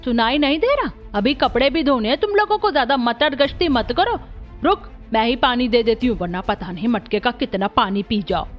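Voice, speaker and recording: A woman's voice: on the high side, expressive, normal speed, lo-fi sound, faint music behind, close to an everyday voice. A woman voicing a cruel middle-aged aunt in a family cartoon, bossy and harsh as she scolds. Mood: scolding, threatening. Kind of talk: Hindi animated family story dialogue.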